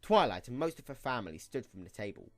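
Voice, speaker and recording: Animated male story narrator over music. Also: medium pitch, fast talker.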